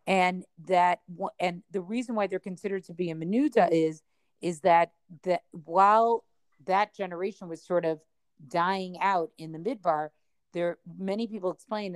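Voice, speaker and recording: A woman's voice: lowish voice, darkish tone, no room sound. A woman teaching in a study session, explaining at length and thinking aloud with frequent ums and hedging words.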